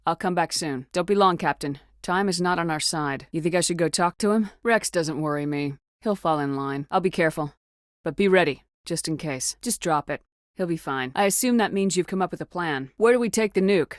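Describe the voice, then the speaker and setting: An actress playing a tough, no-nonsense space marine commander in a video game, speaking in a firm, clipped, authoritative way. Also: female voice, lowish voice, brisk, dry recording, studio quality, lightly acted. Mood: steady, authoritative. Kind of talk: scripted video game dialogue acting.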